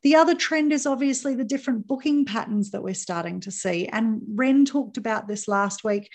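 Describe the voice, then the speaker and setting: A woman's voice: fairly high pitch, moderate pace, creaky. A woman hosting a tourism podcast, talking listeners through industry trends in an upbeat, promotional way. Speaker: podcast host. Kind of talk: podcast host monologue.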